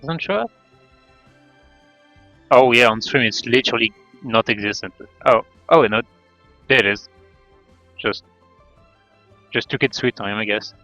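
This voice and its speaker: A man streaming a game, chatting casually and haltingly, repeating words as he explains things. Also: medium pitch, pushed and bright, no room sound. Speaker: live streamer. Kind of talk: casual livestream chat while gaming. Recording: dull lo-fi mic, faint music behind. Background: faint game music.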